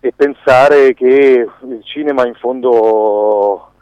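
A man giving a conversational radio interview as a filmmaker, haltingly searching for words and restarting phrases. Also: mid-range voice, clean tone, no room sound. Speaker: documentary filmmaker being interviewed. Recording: dull lo-fi radio sound, dry.

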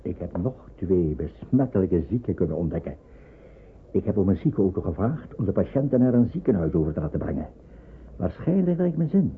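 A resolute wartime doctor in an old radio play. His speech swings widely in pitch while staying calm and in command. The recording is narrow and tinny, with faint noise. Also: brisk. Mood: urgent, conspiratorial. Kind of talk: Dutch radio drama acting.

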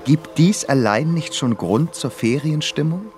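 An actor in a radio drama playing a wry, reflective émigré composer narrating a travel diary. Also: male voice, on the high side, expressive, unhurried, a little mellow. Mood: dreamy, elated. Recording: prominent music behind the voice.

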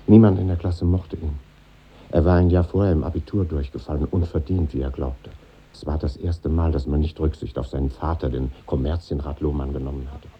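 Husky low-voiced male narrator. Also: steady tone, exaggerated voice. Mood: sober, reflective. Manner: measured, matter-of-fact storytelling. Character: middle-aged man soberly recalling his former classmates. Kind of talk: 1950s radio drama narration. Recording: muffled 1950s radio recording.

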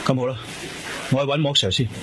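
A man playing a quietly commanding, businesslike police detective in a Hong Kong Cantonese radio drama. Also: medium pitch, fairly even intonation, quick pace, background noise, natural voice.

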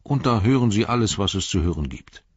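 A man narrator plays a wary storybook gatekeeper, gruff and plain-spoken. His husky voice is raised a little above a low register, and he grumbles tersely. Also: lively intonation, brisk, darkish tone, no room sound, highs cut off. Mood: gruffly welcoming.

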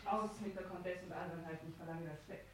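An actress in a 1960s German radio drama playing a young aristocratic bride, proud and wistful. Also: female voice, fairly low pitch, steady tone, brisk, lightly acted. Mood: imperious. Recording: faint hiss, old radio recording.

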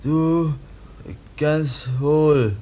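A man playing a timid, childlike foundling youth in a radio play, who speaks in halting, broken single words. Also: on the high side, fairly even intonation, dark tone. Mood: shy, tentative. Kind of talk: German radio play acting. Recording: noisy old radio play recording.

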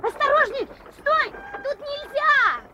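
A woman playing a small, naive village child in a radio play, excitable and childlike. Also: very high-pitched, slow pace, dull audio, exaggerated voice. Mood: excited. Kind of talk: 1970s radio play acting.

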